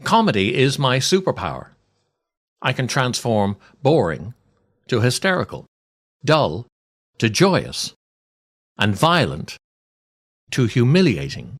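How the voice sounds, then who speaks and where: Man in his sixties, expressive storyteller. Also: medium pitch, takes it slowly, long pauses. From Ireland. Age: 60s. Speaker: podcast host and storyteller. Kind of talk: scripted comic monologue, read aloud.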